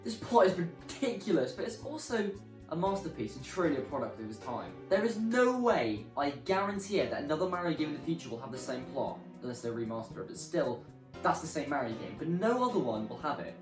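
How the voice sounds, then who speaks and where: A jokey presenter talks casually with lively, swinging intonation. The voice is mid-pitched and sounds roomy and away from the microphone, with music behind it. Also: a little fast, far from the mic. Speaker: video presenter.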